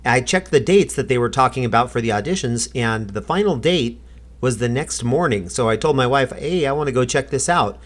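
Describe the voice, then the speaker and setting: A man's voice: mid-range voice, expressive, fairly quick. A middle-aged American man hosting a vlog, talking in a casual narrating and chatting style. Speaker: vlog host.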